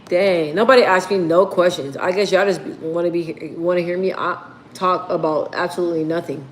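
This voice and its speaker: A woman with a deep, bassy voice, casually chatting with viewers on a livestream. She rambles, reads comments aloud and swears freely. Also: steady tone, fairly quick, a little hiss or hum. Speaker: livestream host.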